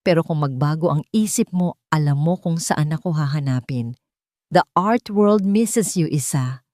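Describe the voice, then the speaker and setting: A woman's voice: low-pitched, a little slow, a little mellow, dry recording, clean studio sound. Woman voicing a breezy, coaxing Filipina gallery owner. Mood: regretful, encouraging. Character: cheerful, persuasive woman gallery owner, old college friend.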